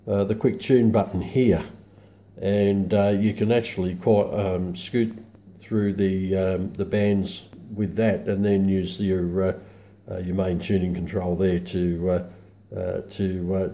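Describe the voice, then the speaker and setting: Slow, low-voiced Australian man, halting delivery. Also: mellow. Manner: halting, hesitant delivery with very frequent pauses. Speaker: hobbyist radio reviewer. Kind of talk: casual unscripted product review.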